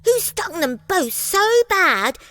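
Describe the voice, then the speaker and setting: A woman's voice: very animated, slow, exaggerated voice. A woman voicing a cheeky, mischievous young male monkey in a childlike storybook voice, full of self-justification. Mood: gleeful boasting. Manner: squeaky, childlike, hesitant boasting. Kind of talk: storybook character voice.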